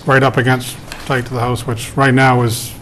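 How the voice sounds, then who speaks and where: A man's voice: mid-range voice, little pitch movement, moderate pace, a bit bright, slight background noise. A man applying to a town board, explaining his project in a plain, cooperative way. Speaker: applicant. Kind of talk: public meeting discussion.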